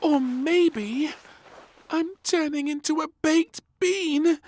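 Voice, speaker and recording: A man voicing an excitable, bossy little girl princess in a children's storybook reading. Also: very high voice, slow pace, pitched above the performer's own voice. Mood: worried.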